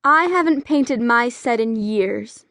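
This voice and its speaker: A woman playing a sharp-tongued, wry teenage girl in a full-cast audiobook, dry and sardonic. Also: fairly high pitch, expressive, slow, no room sound, full character voice. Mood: dismissive.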